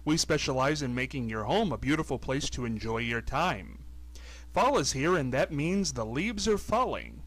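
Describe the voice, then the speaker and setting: Energetic man pitching a lawn service. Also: moderate pace, rough recording. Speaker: ad announcer. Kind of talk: scripted local radio ad.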